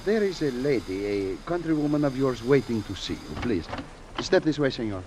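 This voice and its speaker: An actor playing a polite, formal Latin American bank president with a Spanish accent, hesitating courteously in old radio drama style. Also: male voice, medium pitch, big pitch swings, moderate pace, natural voice. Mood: obliging. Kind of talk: 1940s-50s radio drama acting. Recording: noisy vintage radio recording.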